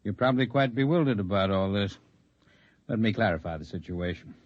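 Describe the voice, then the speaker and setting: An actor playing a composed, articulate leader of an underground freedom movement in 1950s radio drama style, speaking in measured, formal, persuasive speeches. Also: male voice, fairly low pitch, animated, no room sound. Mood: calm, explanatory. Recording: dull lo-fi vintage radio recording.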